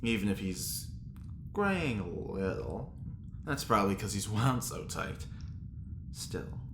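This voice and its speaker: Flamboyant, swooping, vain dandy. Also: male voice, on the low side, big pitch swings, slow, reverberant, background noise. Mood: gossipy, admiring. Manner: theatrical, preening, catty. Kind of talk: audio drama acting.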